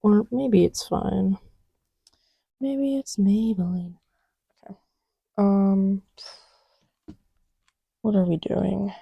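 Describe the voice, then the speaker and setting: A woman speaking softly and often half-whispering, murmuring to herself in a casual, ASMR-style ramble. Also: mid-range voice, fairly even intonation, very slow, creaky, long pauses, husky, no echo, lo-fi sound. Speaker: audio creator.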